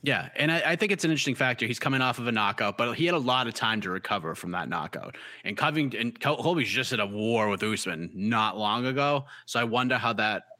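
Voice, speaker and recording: Man, Boston accent, host, confident teasing talk, bright tone. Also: medium pitch, animated, fast talker, few pauses, dry recording. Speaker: podcast host. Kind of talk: casual fight-pick chat.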